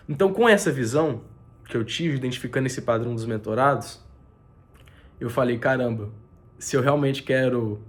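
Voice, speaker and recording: A man speaking as a business mentor in a casual, earnest motivational talk mixing faith and money, his voice stuffy from a heavy cold, with many fillers and restarts. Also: mid-range voice. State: nasal and congested from a cold. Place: spot with a view over the city.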